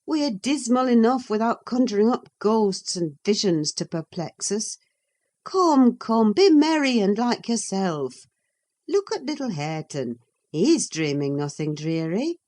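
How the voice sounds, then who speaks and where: A woman reading an audiobook, voicing a practical, cautious woman servant who talks in a measured, reproving way. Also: medium pitch, big pitch swings, a little mellow. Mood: protesting.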